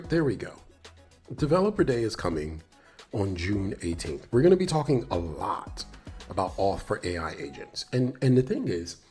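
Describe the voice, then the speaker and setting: A man with a fairly low, slightly nasal voice hosts a casual live stream over music. His intonation swings widely as he chats straight to his viewers. He is enthusiastic and rambles, often doubling back on his phrases. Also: a little mellow. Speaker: live stream host, developer advocate.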